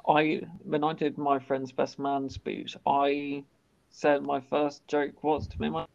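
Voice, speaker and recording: A young man hosts a relaxed podcast chat, stammering and repeating the starts of his words. His voice is fairly high for a man yet flat, with a gravelly fry. The recording sounds dull and lo-fi. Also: slow. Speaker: podcast host. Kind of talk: casual interview chat.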